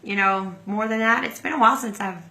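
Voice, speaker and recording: A hoarse middle-aged woman hosting a casual livestream, chatting and greeting viewers by name. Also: medium pitch, fairly quick, pushed and bright. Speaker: livestream host.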